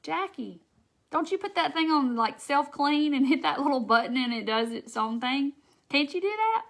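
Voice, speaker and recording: A woman's voice: high-pitched, expressive, moderate pace. A woman with a Southern US accent hosting a casual craft live stream, chatting folksily with viewers and reading their comments by name.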